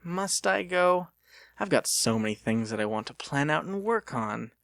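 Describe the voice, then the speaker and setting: A man's voice: fairly high pitch, very animated, normal speed, gravelly, a bit bright, no echo, studio quality, voice pushed up, full character voice. A man voicing an earnest, overly polite young mechanic with courteous, formal manners in a dramatic audiobook. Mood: reluctant. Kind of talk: dramatic audiobook character reading.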